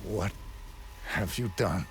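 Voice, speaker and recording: A man's voice: sits low, takes it slowly. A man playing a stoic, guarded assassin in a video game cutscene, speaking in terse, cold phrases. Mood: subdued, grim. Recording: loud music behind the voice.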